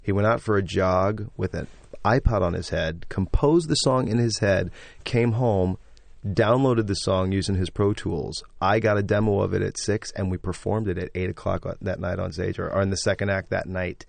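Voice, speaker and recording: A man, a stage actor and singer, talking enthusiastically as a guest in an interview, with a loud, braying voice. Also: low-pitched, steady tone, moderate pace, creaky, no room sound. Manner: enthusiastic, effusive. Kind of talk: casual interview talk.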